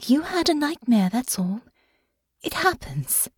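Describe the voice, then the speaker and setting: A woman narrator voicing a gentle, caring young woman in an audiobook, soft and soothing but earnest. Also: sits high, very animated, a little slow, no room sound, clean studio sound, voice pushed up. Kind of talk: audiobook character acting.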